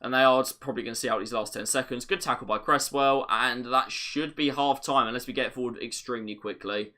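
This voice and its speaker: A man hosting a live watch-along, commentating on a match as a fan, his voice getting a little raspy. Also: steady tone, sharp tone. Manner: partisan, rises sharply on chances, drops low when play slows.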